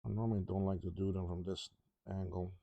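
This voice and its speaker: A man talking casually through a soldering job at his workbench, halting and rambling, his voice a little congested from a cough. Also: sits low, little pitch movement, gravelly, no echo, narrow, tinny audio. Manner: rambling, halting, with many restarts and ums. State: congested, slightly rough from a cough. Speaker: electronics repair hobbyist.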